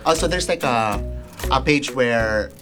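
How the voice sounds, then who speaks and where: A man guesting on a radio show, teasing the hosts with playful jokes and quick questions. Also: mid-range voice, lively intonation, music in the background. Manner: teasing, joking, bouncy questions. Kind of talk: playful on-air banter.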